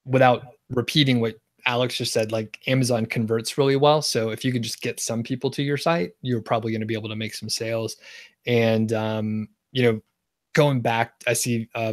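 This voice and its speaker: A man's voice: mid-range voice, dry recording. Guy guesting on a live stream, mid voice, laid-back explaining, lots of likes, dry sound. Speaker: guest expert. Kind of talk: casual livestream Q&A.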